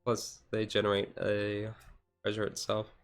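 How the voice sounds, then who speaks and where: Low, even-toned man chatting on stream. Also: unhurried, smooth, soft background music. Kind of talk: casual chat, ASMR-style chill livestream.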